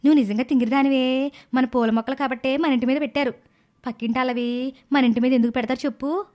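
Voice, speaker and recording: A girlish, high-pitched young niece , voiced by a woman, from a cartoon moral story. She is silly and stubborn, arguing and whining in a petulant way. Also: steady tone, fast talker, darkish tone, natural voice. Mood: cheeky.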